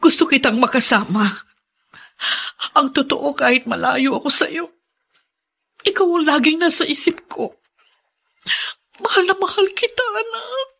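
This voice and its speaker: A sorry filipino mom in a radio soap, high voice, pleading and tearful. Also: female voice, measured pace, gravelly, long pauses, a bit bright, heavily acted. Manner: tearful, imploring. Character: remorseful, pleading mother of a grown daughter. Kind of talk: melodramatic radio drama acting.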